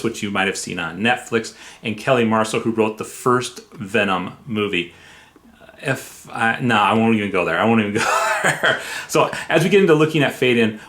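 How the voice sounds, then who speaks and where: Low-voiced man giving a casual review. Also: a bit bright. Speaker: software reviewer and video host. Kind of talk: casual review narration with playful asides.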